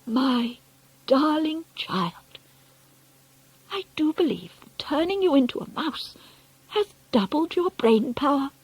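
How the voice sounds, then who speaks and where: Woman acting a feisty, husky old grandmother. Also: a little high, sing-song, moderate pace, no echo, rough recording. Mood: doting, scheming.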